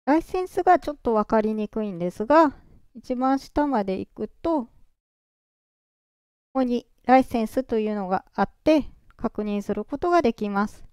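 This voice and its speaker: A presenter walking through software setup step by step in a calm, explaining way, their voice run through a pitch-raising voice changer. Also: normal speed, smooth, dry recording, studio quality. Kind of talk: screen-recorded software walkthrough.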